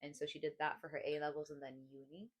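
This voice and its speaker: A young woman with a low voice and a neutral, American-sounding international-school accent. She co-hosts a casual podcast and talks in long, rambling runs full of fillers and restarts. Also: level tone, quick pace. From Middle East. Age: 20s. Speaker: podcast co-host. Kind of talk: casual chat.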